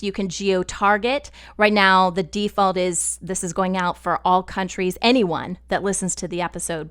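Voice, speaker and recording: Female tutorial presenter, smooth and clear, mid pitch, conversational walk-through delivery. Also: a bit bright. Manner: conversational, step-by-step. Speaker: podcast network instructor. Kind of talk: screen-share software tutorial, explanatory.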